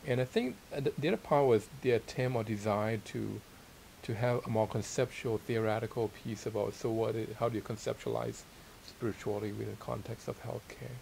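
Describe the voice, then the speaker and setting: A middle-aged man from Thailand speaks as an interview guest, his voice on the low side with a gravelly creak. He is modest and hesitant, feeling his way through his answers. A steady hiss sits behind him. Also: brisk, poor audio. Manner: hesitant, searching for words, modest. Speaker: interviewed guest, theology teacher and author. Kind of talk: casual sit-down interview. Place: small library room with armchairs. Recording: steady hiss and room noise.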